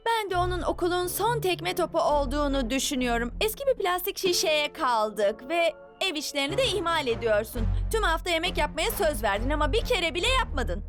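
A woman's voice: very high voice, sing-song, fairly quick, fairly bright tone, soft background music, heavily acted. A woman voicing a bossy, sensible little girl in a cartoon dub, with a firm, matter-of-fact manner. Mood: scolding. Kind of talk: animated cartoon dub acting.

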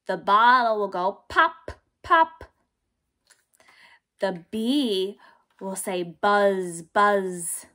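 A young woman teaching and reading aloud to small children in simple, deliberate phrases. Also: medium pitch, sharp tone. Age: young adult. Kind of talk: children's read-aloud lesson.

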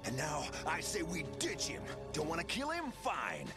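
A man's voice: on the high side, wildly swooping pitch, moderate pace. A man playing a hot-headed, distrustful soldier in a video game, brash and aggressive. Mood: angry, distrustful. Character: hot-headed, foul-mouthed, distrustful male heavy-weapons soldier. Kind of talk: video game action acting. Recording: very loud music underneath.

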